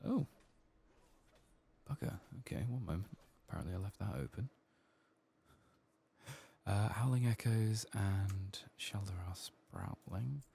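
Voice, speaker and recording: A man giving soft-spoken, partly whispered ASMR commentary as he plays a game, murmuring his thoughts aloud. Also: on the low side, unhurried, gravelly, long pauses, slight background noise. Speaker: gaming channel host.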